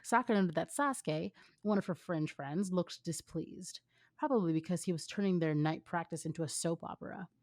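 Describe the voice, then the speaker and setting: A woman with a low voice narrates a story expressively. She slips into casual asides, and the sound is dry with little room. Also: moderate pace, darkish tone, dry recording. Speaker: fan fiction reader.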